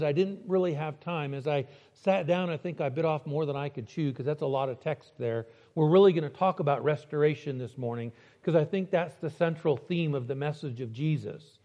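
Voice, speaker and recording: A man delivering a church sermon, slowing down to stress key words. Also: high-pitched, warm tone, dull audio. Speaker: preacher. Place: church building.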